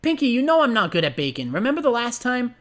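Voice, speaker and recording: A woman voicing a brash, sporty young female pegasus pony, talking quickly. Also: a little high, very animated, background noise, pitched above the performer's own voice. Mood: eager.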